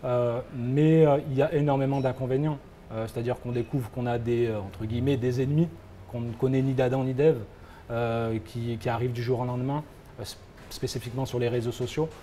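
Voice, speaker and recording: Young man, mellow dark voice, hesitant, lots of fillers, faint background noise. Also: mid-range voice, fairly even intonation, brisk. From France. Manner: hesitant, many fillers and restarts. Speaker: guest, founder of a football agency. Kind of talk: interview answers.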